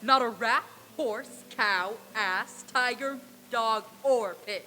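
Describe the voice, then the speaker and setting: A playful, genial gentleman host acted by a woman in a live radio play. The voice is high and bright over a faint hum. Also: slow pace. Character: genial, playful nephew hosting a Christmas party. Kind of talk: old time radio stage reading.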